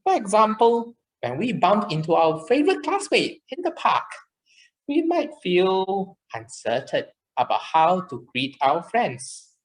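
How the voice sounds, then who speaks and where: A woman's voice: mid-range voice, very animated, a little slow, low-quality recording. A woman voicing a chirpy, caring child puppet in a children's show, with simple, encouraging explanations. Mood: gentle, comforting.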